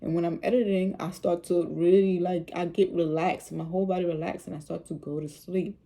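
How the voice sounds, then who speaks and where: A woman with a low, soothing voice, speaking casually and with rambling repeats as she talks about faith on her vlog. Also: flat delivery, brisk, vocal fry, warm tone. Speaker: vlogger.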